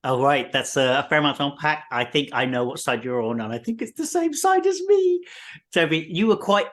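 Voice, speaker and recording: A man's voice: on the high side, sing-song, fairly quick, fairly bright tone. A man co-hosting a book podcast in casual, conversational chat. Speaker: book review podcast co-host.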